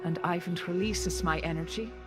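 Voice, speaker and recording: Solemn fantasy sorceress, voiced by an actress: lowish female voice, grave measured storytelling, loud music behind. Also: lightly acted. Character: solemn, wise sorceress recounting her tragic past. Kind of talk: scripted dramatic game narration. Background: loud atmospheric music.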